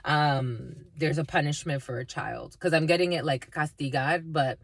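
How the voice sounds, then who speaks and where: A woman whose first language is Spanish, giving a halting intuitive spiritual reading to viewers, with many fillers and repeated phrases. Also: low voice, no room sound. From Dominican family, Spanish first language. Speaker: spiritual reader.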